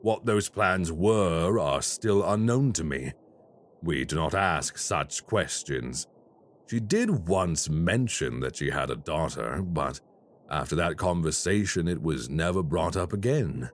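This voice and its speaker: An actor playing a polite, quietly menacing male crime boss in an audio roleplay. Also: low-pitched, expressive. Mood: smooth menace. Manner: slow, courteous and quietly menacing.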